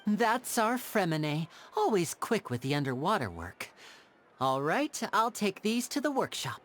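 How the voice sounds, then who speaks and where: A woman's voice: medium pitch, very animated, measured pace, slight background noise. A woman playing a charming young male stage magician and caring older brother in an anime game, polite and theatrical. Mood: friendly.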